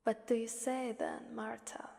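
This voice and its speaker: A woman's voice: a little high, little pitch movement, measured pace, gravelly, mellow. A woman voicing a seductive, taunting Krampus demoness in an ASMR roleplay, half-whispering with playful menace. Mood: teasing. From Italy. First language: Italian. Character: seductive, taunting female Krampus demon ruling a fighting arena. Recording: long cavernous reverb.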